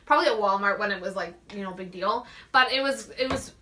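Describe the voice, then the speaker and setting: Bright-voiced young Canadian woman chatting. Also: medium pitch, fast. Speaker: talk show co-host. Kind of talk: casual chat.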